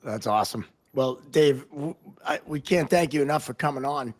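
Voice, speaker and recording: An American man hosting a casual podcast interview, talking in a halting way and often repeating words. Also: medium pitch. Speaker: podcast host, hockey referee.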